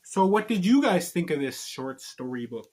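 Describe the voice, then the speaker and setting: Higher-pitched man, playful storybook reader. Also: sing-song, normal speed, darkish tone. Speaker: host reading a storybook to viewers. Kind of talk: storybook read-aloud and casual chat to viewers.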